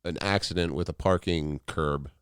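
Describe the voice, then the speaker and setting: A man's voice: deep voice, steady tone, normal speed, clear, resonant tone, no room sound, studio quality. A man chatting casually as a podcast guest, joking and swearing freely.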